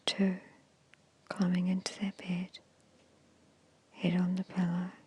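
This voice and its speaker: Slow, breathy whispering woman. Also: on the low side, completely flat delivery. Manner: soothing, hushed, measured, with short phrases and pauses. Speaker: hypnosis and meditation guide. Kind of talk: whispered ASMR guided meditation.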